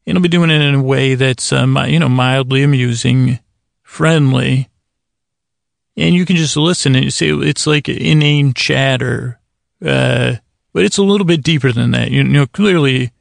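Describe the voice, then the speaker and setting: A man hosting a bedtime podcast in a gravelly voice, rambling and halting as he improvises, drifting whimsically and trailing off mid-thought. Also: mid-range voice, no echo, clean studio sound. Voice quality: gravelly, creaky voice. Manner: mildly whimsical, acts out imagined exchanges. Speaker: podcast host.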